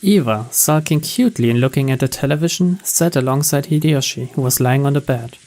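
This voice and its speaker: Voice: mid-range voice, fairly quick, a little mellow. A narrator reading scripted visual novel story text. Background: background music.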